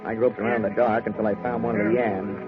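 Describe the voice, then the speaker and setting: A man's voice: lowish voice, fast talker, lightly acted. An actor playing a wry, breezy male insurance investigator, narrating in 1940s radio detective style. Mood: wry narration. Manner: wry, deadpan humour. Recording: dull old radio recording with music.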